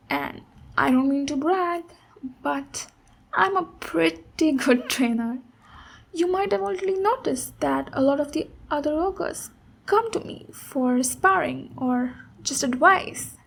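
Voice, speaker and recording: A high, unhurried voice for a big ogre warrior woman, acted out by a woman. She is a gentle, encouraging mentor in an intimate ASMR fantasy roleplay, taking her time with each phrase. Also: creaky, heavily acted. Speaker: ASMR voice actor.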